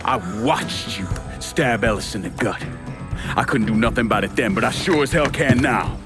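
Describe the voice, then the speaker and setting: A man's voice: medium pitch, moderate pace, natural voice. An actor playing a grim, vengeful man with controlled menace in a video game cutscene. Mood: cold, menacing. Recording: loud music and insects behind.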